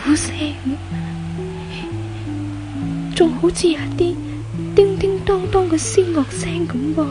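A timid young woman in an old Cantonese radio play, speaking with a Hong Kong accent. Her voice is high and earnest, full of theatrical wonder and regret. Plucked-string music plays clearly behind her. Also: measured pace. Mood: hushed wonder. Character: repentant, timid young woman longing for a new life. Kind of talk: 1970s Cantonese radio drama acting.

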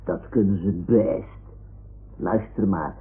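An actor playing a boastful, childlike toy sea lion who tells things in a halting, self-important way in an old radio play. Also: male voice, low voice, husky, heavily acted. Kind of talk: 1960s-70s Dutch radio play acting. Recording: dull, muffled old radio recording.

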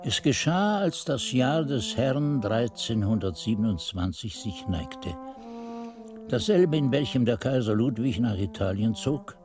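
An actor playing an aged, frail monk who solemnly recounts his youth in a radio drama, husky and measured, with phrases falling away to a hush. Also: mid-range voice, lively intonation, over music, lightly acted. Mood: grave, reproachful. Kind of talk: radio drama narration, archaic literary chronicle.

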